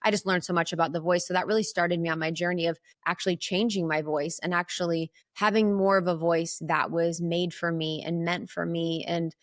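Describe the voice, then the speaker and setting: A woman's voice: fairly low pitch, fairly even intonation, a little fast, few pauses, no echo, clean studio sound. A woman from Ohio with an Ohio accent, telling a personal story as a podcast guest in a candid, reflective way. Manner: candid, reflective, frequent "like" fillers.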